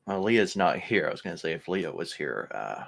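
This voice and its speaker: Low, lively-pitched male roleplay gamer. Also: normal speed, vocal fry. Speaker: tabletop roleplaying game player. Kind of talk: casual in-character table talk.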